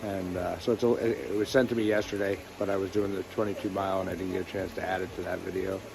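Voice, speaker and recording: A man with a fairly low voice records a casual hiking vlog outdoors. He rambles and thinks aloud, with clear traffic and outdoor noise around him. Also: level tone, quick pace. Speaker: hiking vlogger. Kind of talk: casual video diary talk. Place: outdoors by a road or trail.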